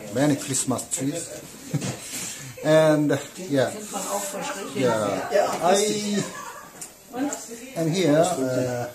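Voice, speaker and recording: Korean-accented young man, very slow halting delivery, café crowd audible behind. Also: medium pitch, low-quality recording. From South Korea. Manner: halting, many pauses and fillers. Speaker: exhibiting photographer. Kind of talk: hesitant interview in English. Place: indoors in a small café gallery.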